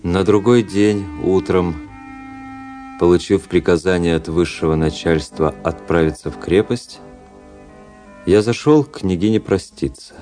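An actor playing a proud, cold, world-weary young officer in a radio play, speaking in a measured, reflective, formal manner. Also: male voice, sits low, warm tone, faint music behind. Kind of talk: radio play acting, literary narration.

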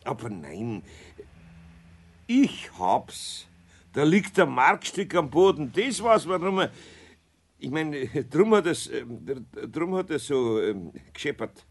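An actor playing a flustered, good-natured older craftsman with a Bavarian accent, halting and grumbling, in a 1970s children's radio play. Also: fairly high pitch, big pitch swings, breathy edge, full character voice. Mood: flustered, hurried.

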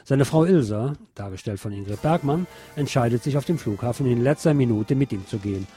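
A man's voice: mid-range voice, expressive, brisk, dark tone, faint music behind. A man narrating a scripted documentary.

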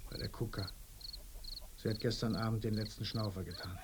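Actor as a grieving village drinker, crickets behind. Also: male voice, on the low side, steady tone, a little fast, natural voice. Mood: weary grief. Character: grieving, hard-drinking poor village man, desperate. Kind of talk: radio play acting. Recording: noisy radio drama, crickets behind.

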